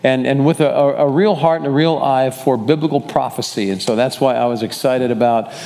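A man's voice: mid-range voice, steady tone, fairly quick. Man pastor teaching in a reverberant church. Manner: warm, rambling, self-correcting delivery. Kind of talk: casual Bible study teaching. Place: church sanctuary with congregation. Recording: long hall reverb.

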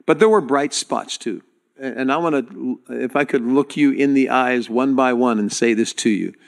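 A man's voice: medium pitch, vocal fry. Earnest man preaching to his church. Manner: earnest, direct, with halting restarts. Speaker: pastor. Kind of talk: sermon.

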